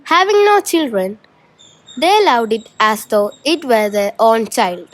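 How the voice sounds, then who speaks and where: A woman's voice: fairly high pitch, animated, a little slow. A young female student narrating a scripted folk tale for a school show.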